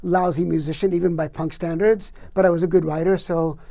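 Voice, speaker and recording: A man's voice: on the high side, level tone, brisk, vocal fry, dark tone. A middle-aged man from Cuba, a poet guest, answering questions in a wry, joking, easygoing way. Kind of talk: interview answers.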